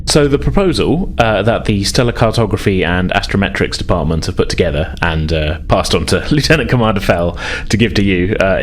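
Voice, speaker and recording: A man speaking as game master and podcast host, explaining informally with some hesitation as he runs a tabletop game. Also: deep voice. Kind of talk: narrating a tabletop role-playing game. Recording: noticeable background noise.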